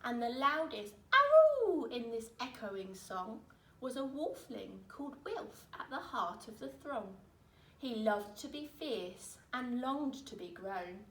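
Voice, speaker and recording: A woman reading a rhyming children's picture book aloud in an expressive, dramatic way, with deliberate phrasing. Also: medium pitch, slight background noise. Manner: dramatic, deliberate phrasing with emphatic swells and hushes. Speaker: storyteller reading aloud, teacher. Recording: roomy echo, distant microphone.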